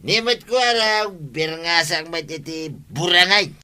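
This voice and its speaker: A man's voice: on the high side, a little slow, pushed and bright, clear, resonant tone. A man playing a mischievous, tipsy village man who rambles and mocks people in a comedy radio drama. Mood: tipsy mocking. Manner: mocking, rambling, name-calling.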